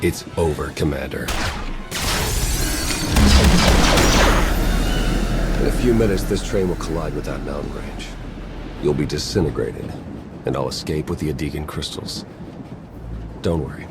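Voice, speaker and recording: An actor playing a bitter, coldly determined traitor in a cinematic video game, grim and measured. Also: male voice, rumbling low, loud music behind the voice. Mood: cold menace. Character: male traitor spy, bitter, coldly determined former ally.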